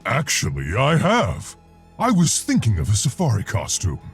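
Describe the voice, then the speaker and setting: A man playing a brainy, gentle gorilla scientist with a gruff voice and a friendly, earnest manner in a video game. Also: medium pitch, wildly swooping pitch, moderate pace, full character voice. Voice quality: gruff, gravelly. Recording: music behind the voice.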